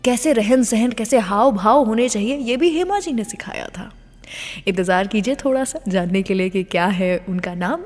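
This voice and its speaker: A woman's voice: medium pitch, expressive, normal speed, a little mellow, long reverb tail. An Indian woman presenting a radio show in a chatty, storytelling way, dropping English phrases into her Hindi.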